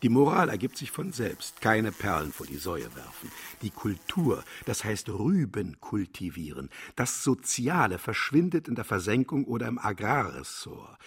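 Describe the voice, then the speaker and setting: A man's voice: lowish voice, big pitch swings, moderate pace, few pauses, husky, heavily acted. A man playing a vain, contemptuous philosopher in a radio play, declaiming and lecturing grandly. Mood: self-satisfied, lecturing. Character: pompous, cynical male philosopher, vain and contemptuous. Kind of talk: German radio play acting.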